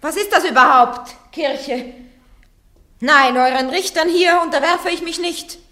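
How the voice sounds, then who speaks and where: Joan of Arc, defiant on trial. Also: female voice, high voice, a little slow, sharp tone. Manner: stubborn, curt, defiant replies. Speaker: accused. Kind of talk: 1950s-60s German radio drama.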